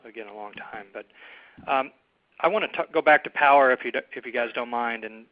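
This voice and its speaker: A male analyst phones in with a question, his sound a little dull and lo-fi. His pitch is average. He words things bluntly and casually for a business call. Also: fairly quick. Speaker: financial analyst calling in. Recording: slightly dull phone connection.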